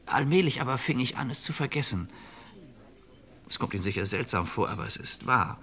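An actor playing a calm, resigned middle-aged fugitive in an old radio crime drama, measured and reflective. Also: male voice, mid-range voice. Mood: wistful, calm.

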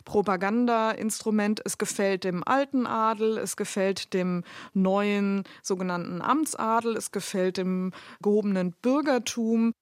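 A woman's voice: medium pitch, fairly even intonation, a little slow, no room sound, studio quality. A woman giving explanatory expert commentary in an interview.

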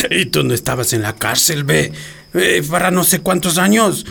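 High-pitched man, gravelly fry, Ecuadorian street slang, shifty drug dealer, cheeky drawl, radio drama. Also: expressive, unhurried, fairly bright tone, full character voice. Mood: taunting, suspicious.

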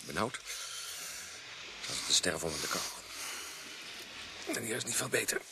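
A man's voice: deep voice, expressive, a little slow. A man playing an uneasy, suspicious policeman on night watch in a radio play, chummy and teasing with his colleague. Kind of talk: Dutch radio play acting. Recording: noisy radio play recording.

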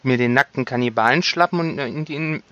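A man co-hosting a casual podcast, cracking jokes in a deadpan way. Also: medium pitch, a little fast, dull audio. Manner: deadpan, joking. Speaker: podcast co-host.

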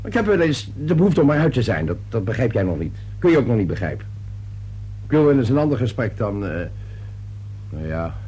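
Gruff, animated middle-aged father. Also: medium pitch, fairly quick. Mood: defensive, irritated. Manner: gruff, impatient, blustering. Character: irritable, defensive middle-aged father and businessman. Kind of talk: radio play acting. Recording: noisy old radio play recording.

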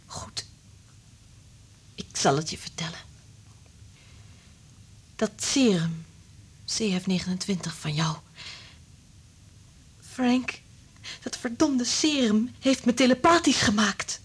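An actress playing an anguished, bitter married woman in a radio drama, intense and confiding, with a breathy, husky voice that drops to whispers. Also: mid-range voice, takes it slowly, pauses often. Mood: anguished, urgent.

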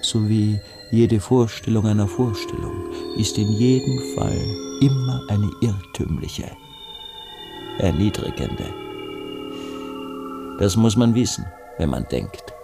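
An actor playing an obsessive, embittered male recluse in a radio drama monologue, brooding and insistent in halting phrases, with a breathy voice. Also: fairly low pitch, expressive, music in the background, full character voice. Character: obsessive, embittered recluse, a man devoted to his study.

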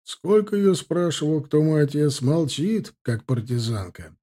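A man's voice: fairly high pitch, sing-song, measured pace, dark tone. A man voicing a good-natured, amused night watchman with an unruffled, jokey, emphatic way of talking in an audiobook. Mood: wry, cheerful.

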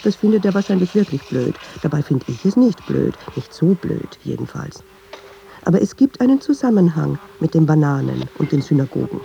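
Very dark creaky voice, brooding self-questioning woman, wry, radio play, dull noisy recording. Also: fairly low pitch. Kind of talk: radio play, introspective monologue.

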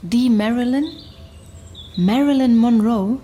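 An actress playing an incredulous female therapist questioning a patient in a radio drama, her voice soft and husky. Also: a little high, slow pace, a little hiss or hum. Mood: sceptical, curious.

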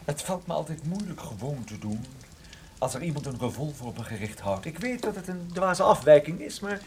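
An actor playing a wry, unflinching private detective in radio play dialogue, with a dry, sardonic manner. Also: male voice, on the high side, sing-song, brisk, slight background noise.

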